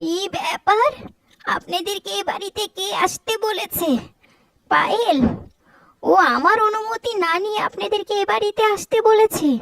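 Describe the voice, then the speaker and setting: A woman voicing a cruel, haughty older mother-in-law in a cartoon, with a sharp, scolding, indignant manner. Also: very high-pitched, moderate pace, vocal fry, dry recording, heavily acted. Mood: angry, threatening. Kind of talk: Bengali cartoon voice acting.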